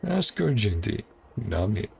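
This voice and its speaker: A man's voice: fairly low pitch, very animated, slow pace, creaky. A man reading game dialogue aloud with casual, mumbled commentary, as a streamer would. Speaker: streamer playing and reacting to a game. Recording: game music behind the voice. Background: game music.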